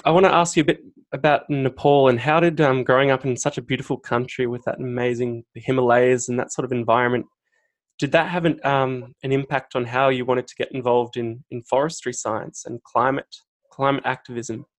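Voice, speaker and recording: A man's voice: mid-range voice, steady tone, brisk. A man hosting a podcast interview, introducing his guest enthusiastically and often restarting his phrases. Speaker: podcast host.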